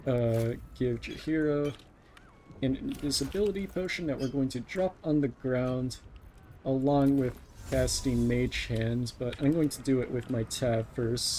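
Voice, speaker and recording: A man's voice: medium pitch, a little mellow, a little hiss or hum. A man giving casual live commentary as a speedrunner, explaining each step as he plays.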